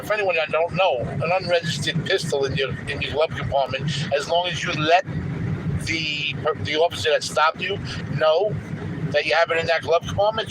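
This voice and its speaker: A man in his late fifties with a fairly high voice rambles through a casual live stream, reading out viewer comments. He is recorded outdoors on a boat, and engine and wind noise sit clearly under his voice. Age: 50s.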